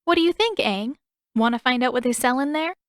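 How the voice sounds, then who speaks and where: Woman voicing an emphatic, high-pitched teenage girl. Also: expressive, brisk. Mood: excited, sly. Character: indignant teenage girl, stubborn, slyly cheerful schemer.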